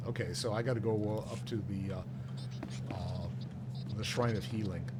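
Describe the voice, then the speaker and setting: A man's voice: fairly low pitch, moderate pace. A middle-aged man chatting casually as he co-hosts a live game stream. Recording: loud steady background noise.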